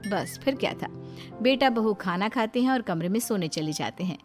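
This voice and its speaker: A woman's voice: medium pitch, expressive, normal speed. A woman giving scripted narration as the storyteller of a tale. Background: background music.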